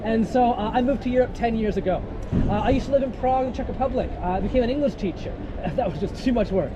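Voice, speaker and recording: A man's voice: very high-pitched, quick pace, dull audio. A Canadian man in his thirties, a tour guide, greeting a group outdoors with a joking, self-deprecating, chatty manner. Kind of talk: guided walking tour talk. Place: outdoors on a city street. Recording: loud traffic noise outdoors. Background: street traffic.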